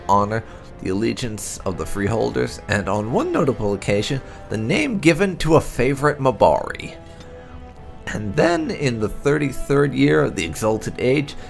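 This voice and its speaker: Deep, creaky-voiced man narrating with lively swings. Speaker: host of a game playthrough channel reading lore entries aloud. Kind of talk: expressive scripted narration, storybook-like reading of fantasy lore. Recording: music behind the voice.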